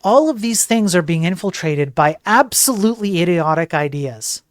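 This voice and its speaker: A man's voice: high voice, expressive, normal speed, dry recording, clean studio sound. A man giving opinionated tech news commentary on a livestream, emphatic and digressive, tossing in rhetorical questions. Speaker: tech news streamer and programmer.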